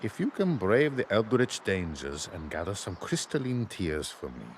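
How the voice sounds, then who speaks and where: Wry tired gravedigger for a game, really low voice, swings up and down, sly. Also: male voice, sing-song, normal speed, a little hiss or hum. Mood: sly, persuasive. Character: weary, wry gravedigger turned memorial crafter. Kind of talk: video game character dialogue.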